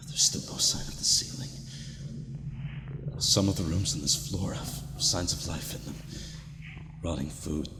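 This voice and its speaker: Deep, echoing haunted explorer. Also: male voice. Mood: uneasy, weary. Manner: halting, haunted, breaks into whispers. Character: weary, terrified male explorer slowly losing his mind. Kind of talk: audio drama monologue, recorded log. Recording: noisy, echoing cavernous reverb.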